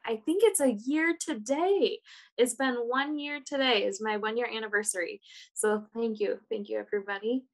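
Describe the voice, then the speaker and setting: A woman interpreter voicing a panelist's words in steady, plain first-person narration on a video call. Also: on the high side, moderate pace.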